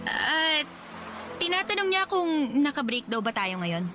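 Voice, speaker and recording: A defensive, stammering young woman with a Filipino accent in a radio drama. Her voice is high and very lively, with music playing behind it. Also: unhurried. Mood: evasive, defensive. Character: evasive, defensive young female coworker hiding something. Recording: music behind studio dialogue.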